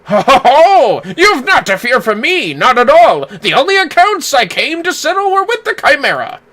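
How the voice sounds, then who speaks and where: A man's voice: extremely high pitch, very animated, normal speed, sharp tone, voice pushed up, exaggerated voice. A man voicing a bombastic, theatrical older father from a game, declaiming pompously. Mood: grandly jovial. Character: bombastic, hip-gyrating muscular older father, grandly theatrical.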